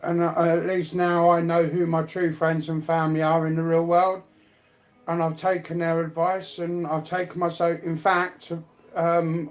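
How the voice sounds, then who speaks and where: Guy with a bit of a high voice, rambly casual stream chat, repeats stuff. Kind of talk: casual chat on a livestream panel.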